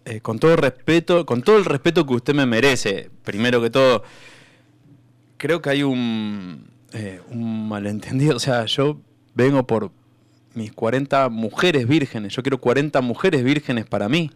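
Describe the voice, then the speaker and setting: A man's voice: mid-range voice, measured pace, no echo, natural voice. A man acting in a comic radio sketch as an indignant, overly polite dead fanatic, stammering and deferential as he insists on a promised reward. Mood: politely indignant.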